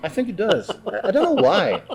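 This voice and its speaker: A man with a Greek accent, a guest chatting in a bar, speaking haltingly with many fillers and restarts. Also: sits high, sing-song, vocal fry, a little mellow, soft background music. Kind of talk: casual chat.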